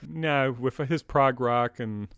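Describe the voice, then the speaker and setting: A man's voice: mid-range voice, takes it slowly, fairly bright tone, smooth, dry recording. Man in his fifties, close dry sound. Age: 50s. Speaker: podcast co-host. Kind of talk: casual chat, fan commentary.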